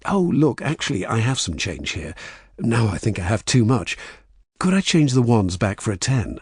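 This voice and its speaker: A male narrator plays an English trickster in a storybook, all courteous apology and feigned bewilderment. His voice is husky and swings widely in pitch, with an English accent. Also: big pitch swings, normal speed, a little mellow, dry recording, clean studio sound, heavily acted. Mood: apologetic, feigning confusion. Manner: polite, apologetic, feigning confusion. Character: polite English con man, smooth and apologetic.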